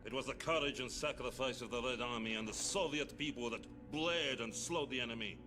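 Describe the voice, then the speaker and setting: An actor playing a hardline, zealous Soviet officer in a game cutscene, speaking in a stern, declamatory, authoritative manner. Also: male voice, medium pitch, fairly quick, over music, natural voice. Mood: stern, assured. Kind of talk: dramatic game cutscene dialogue.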